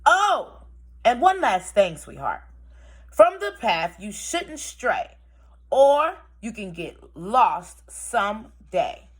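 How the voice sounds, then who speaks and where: Woman as storybook mom, caring, cautioning, singsong, lively rise and fall. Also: on the high side, slow pace, heavily acted. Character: caring, cautioning storybook mother of a young girl.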